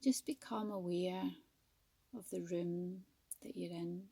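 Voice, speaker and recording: A woman teaching yoga nidra as a guided meditation, speaking softly and soothingly with gentle, measured pauses. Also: lowish voice, steady tone, slightly rough, no echo.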